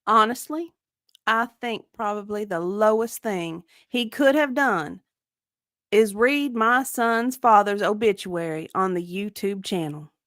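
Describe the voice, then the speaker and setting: A woman's voice: medium pitch, unhurried, fairly bright tone, no room sound, clean studio sound, natural voice. A woman playing a defensive, indignant witness in a dramatic reading of a deposition, evasive and insistent in clipped answers. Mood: hurt, blunt.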